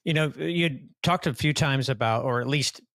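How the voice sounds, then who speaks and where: A man co-hosting a podcast, asking measured, thoughtful questions. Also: mid-range voice, fairly bright tone, dry recording, studio quality. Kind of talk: casual podcast interview.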